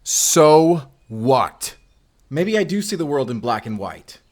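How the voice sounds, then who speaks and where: A man's voice: a little high, big pitch swings, slow, a little mellow. A man acting a mysterious vampire slayer, earnest and wryly awkward, in an intimate audio roleplay with casual, confiding delivery. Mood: grim, intense. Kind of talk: cinematic audio roleplay acting, intimate one-sided dialogue.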